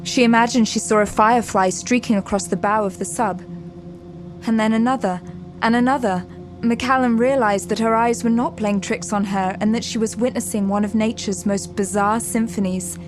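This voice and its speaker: A woman giving dramatic scripted narration for an audio drama, measured, descriptive and suspenseful. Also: a little high, fairly even intonation, over music, low-quality recording.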